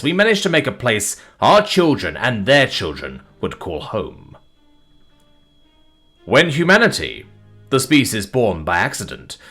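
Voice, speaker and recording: Deep-voiced man dramatically narrating a story over music. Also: fairly bright tone, slightly rough. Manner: solemn, theatrical delivery with emphatic swells and falling phrase ends.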